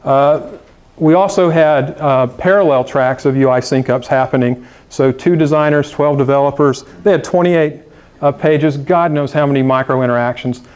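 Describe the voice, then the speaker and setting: A man's voice: medium pitch, normal speed, darkish tone, clear, resonant tone. A man giving a conference talk on design to an audience, emphatic and urging, with some fillers. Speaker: conference speaker. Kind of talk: conference presentation. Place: conference room.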